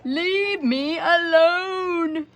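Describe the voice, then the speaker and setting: A woman voicing an exasperated old woman in a storybook, shouting in long, loud bursts. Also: extremely high pitch, extremely slow, soft background music, pitched above the performer's own voice, full character voice.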